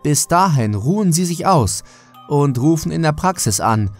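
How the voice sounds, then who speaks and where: A worried male doctor in a horror audiobook, acted by the narrating man. He speaks firmly with an exasperated edge, his voice raised well above its usual pitch, over music. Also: lively intonation, moderate pace. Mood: firm, urgent. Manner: urgent, commanding.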